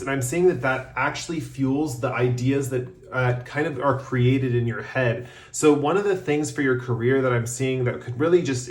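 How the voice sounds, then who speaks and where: Tarot reader, male, voice a bit worn from losing it, mid-range pitch, rambling unhurried delivery, echoey room. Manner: relaxed, rambling, frequent ums, slows to announce cards. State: losing his voice. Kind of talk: casual tarot reading to camera.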